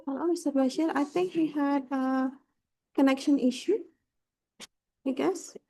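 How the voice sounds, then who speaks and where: Brief: high-pitched woman moderator on a video call, warm soft tone, halting and filler-heavy. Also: fairly even intonation, gravelly, rough recording. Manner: hesitant, many fillers. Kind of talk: online forum moderating.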